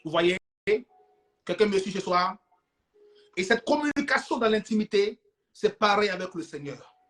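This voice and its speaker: A man's voice: sits high, a bit bright. A man preaching an informal, playful teaching sermon, repeating words and phrases insistently for emphasis. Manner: playful, acts out couple dialogue.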